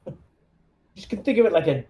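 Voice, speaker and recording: A man's voice: high voice, animated, quick pace, warm tone, highs cut off. A man chatting casually as the game master of a tabletop roleplaying game.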